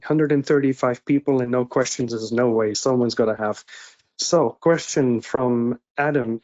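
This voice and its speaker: A man's voice: medium pitch, a little mellow. Muffled man moderating questions. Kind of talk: casual online question-and-answer talk. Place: indoor room on a video call. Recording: muffled lo-fi video call audio.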